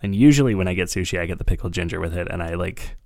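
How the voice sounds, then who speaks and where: A man co-hosting a podcast, hoarse and gravelly from being sick, rambling casually with lots of fillers. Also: low voice, very fast, darkish tone, dry recording, clean studio sound. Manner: rambling, filler-heavy storytelling. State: hoarse from a cold. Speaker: podcast co-host. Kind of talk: casual chat.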